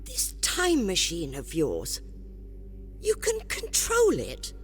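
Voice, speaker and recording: A woman's voice: on the low side, huge pitch swings, slow pace, slightly rough, heavily acted. A woman playing a curious, plucky young female traveller in an audio drama, eager and precise in manner. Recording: music behind the voice.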